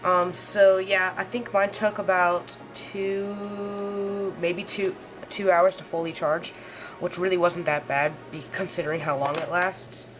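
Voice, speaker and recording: A woman with a slightly hoarse voice, rambling through a casual unboxing review with lots of fillers. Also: fairly low pitch, steady tone, over music. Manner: rambling, halting, many fillers. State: hoarse, under the weather. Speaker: tech reviewer.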